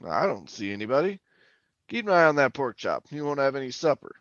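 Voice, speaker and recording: An actor playing a garrulous middle-aged former oil-rig roughneck, telling his tale in a rambling, folksy radio drama manner. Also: medium pitch, very animated, fairly quick, a bit bright, dry recording, highs cut off. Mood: friendly, easygoing. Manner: rambling, folksy, colloquial storytelling. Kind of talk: old-time radio drama monologue and dialogue.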